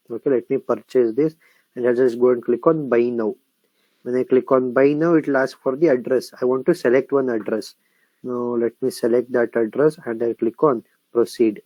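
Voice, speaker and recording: A man with an Indian English accent talking through a screen-recorded software tutorial. He explains each step, repeats phrases while he types, and keeps checking in with "correct?" and "okay?". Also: medium pitch, no room sound, rough recording. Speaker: software instructor.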